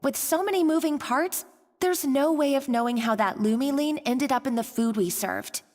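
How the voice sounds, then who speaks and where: A flustered young cook, voiced by a woman, high-pitched and stammering, always on the verge of tears. Her apologetic voice sits in echoey game audio with faint music beneath. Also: moderate pace, a little mellow, close to an everyday voice. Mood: earnest. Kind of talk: video game voice acting.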